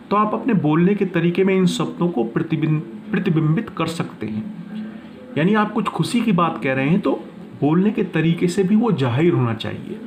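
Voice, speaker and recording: Higher-pitched male teacher, noisy recording. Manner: instructional, point by point. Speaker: teacher presenting class notes. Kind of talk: explanatory lesson, reading notes and paraphrasing them.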